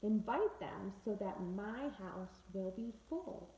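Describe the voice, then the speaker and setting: A woman's voice: mid-range voice, expressive, slow, dark tone. A woman reading the part of a commanding, generous rich man in a children's storybook parable, giving instructions in an echoey room. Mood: welcoming, firm. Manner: instructing, declarative. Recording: echoey room with faint background noise.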